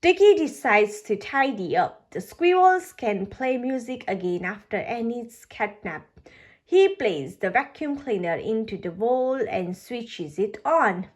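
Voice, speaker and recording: A woman reading a children's storybook aloud for toddlers, with a halting delivery and the odd slip. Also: a little high, lively intonation. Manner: halting reading with slips and pauses. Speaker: children's book reader on a video channel.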